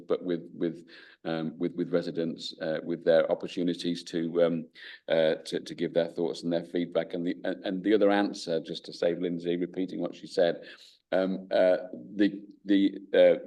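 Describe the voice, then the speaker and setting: Deep-voiced man chairing a committee. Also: a little mellow, far from the mic. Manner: halting, full of restarts and fillers. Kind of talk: chairing a council committee meeting. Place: council meeting room.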